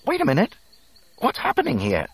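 A man's voice: fairly high pitch, huge pitch swings, brisk, slightly rough, no echo, pitched above the performer's own voice, heavily acted. A man voicing a fussy, anxious golden protocol droid in an audiobook story, prim and fretful in manner. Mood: panicked.

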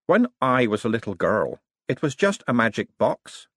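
A man's voice: mid-range voice, sing-song, fairly quick, husky, dry recording, clean studio sound, pitched above the performer's own voice. A man voicing a dreamy, wistful woman character in an audiobook. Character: dreamy woman reminiscing about childhood.

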